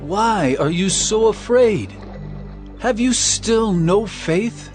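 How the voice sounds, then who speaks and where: A man playing an authoritative teacher preaching parables in a dramatized scripture reading, speaking with deliberate emphasis. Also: high voice, expressive. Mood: rebuking. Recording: music behind the voice.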